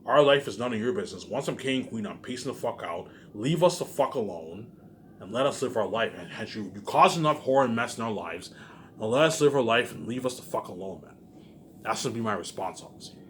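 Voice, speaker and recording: A man's voice: lowish voice, animated, brisk, a little hiss or hum. A man in an agitated, confrontational unscripted rant, repeating himself and swearing often. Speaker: online video maker.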